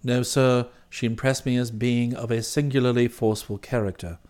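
A man voicing an unflappable, deferential English gentleman's valet in an audiobook, with upper-class British English and formal, measured, discreet phrasing. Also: medium pitch, fairly even intonation, voice pushed down, natural voice. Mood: calm, deferential. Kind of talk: audiobook character voice.

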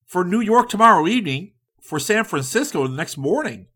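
A man acts out an eager, self-important hustler who cannot wait. The voice sits high and jumps about, loud and breathless with hurry, with a slightly husky grain. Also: exaggerated voice. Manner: excited, rushed, loud.

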